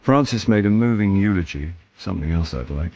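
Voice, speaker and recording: An actor playing a wry, world-weary English amateur detective in a radio drama, with a dry, deadpan delivery. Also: male voice, low voice, fairly quick, dull audio. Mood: wry, downbeat. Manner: dry, deadpan, self-mocking. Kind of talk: first-person radio drama narration and dialogue.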